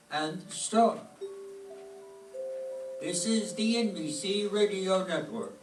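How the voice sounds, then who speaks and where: Male announcer for staged radio plays, higher voice, heard from afar through room echo. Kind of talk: scripted old-time radio announcing. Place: large room or stage before a live audience. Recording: echoey distant stage recording, clear noise.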